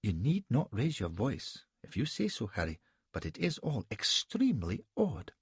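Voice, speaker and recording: A man voicing a flustered, naive young Victorian wife who asks one anxious question after another in a high, breathless voice. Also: huge pitch swings, moderate pace, husky, no room sound, heavily acted. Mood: prim. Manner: breathless, fussy, plaintive questioning.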